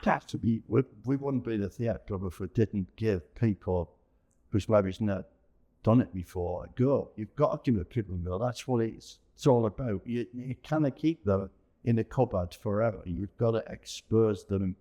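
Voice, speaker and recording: A man's voice: lowish voice, normal speed, mellow. A middle-aged or older man, chatting colloquially and anecdotally as a veteran amateur performer. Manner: colloquial, anecdotal, frequent tag phrases. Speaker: veteran amateur pantomime performer, interview guest. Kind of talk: casual chat.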